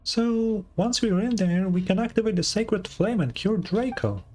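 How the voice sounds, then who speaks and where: A man's voice: high-pitched, animated, a little slow, a little mellow, pitched above the performer's own voice. A man voicing a small, chirpy, childlike female fairy companion in a video game, bubbly and chatty, with game music behind. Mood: thoughtful, upbeat. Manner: bubbly, chatty, refers to self by name.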